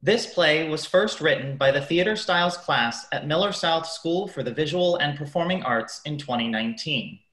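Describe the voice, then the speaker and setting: A man narrating a scripted radio play in a measured, announcing manner. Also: on the high side, fairly bright tone. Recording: echoey room.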